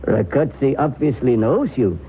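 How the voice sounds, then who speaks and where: An actor in an old-time radio drama playing a knowing, deliberate adviser in a dark scheme. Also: male voice, mid-range voice, lively intonation, lightly acted. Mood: knowing, firm. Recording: muffled old radio recording, faint noise.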